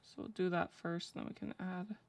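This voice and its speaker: A young woman with a low voice chatting casually and rambling with lots of fillers while she draws on a live stream. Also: fairly even intonation, brisk, darkish tone. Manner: rambling, filler-heavy, laughs easily. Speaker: streamer drawing fanart.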